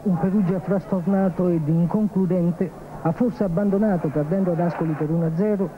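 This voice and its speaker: Male sports reporter, high voice, scripted match recap, narrow phone-like archive TV sound. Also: steady tone, a little fast. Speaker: football reporter. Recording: muffled, noisy archive TV broadcast.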